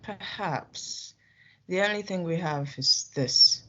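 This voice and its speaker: A woman's voice: fairly low pitch, slow, a bit bright. A woman playing a dry, reminiscing university dean in a radio play. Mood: reminiscing, guarded. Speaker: radio drama actor. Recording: dull lo-fi audio.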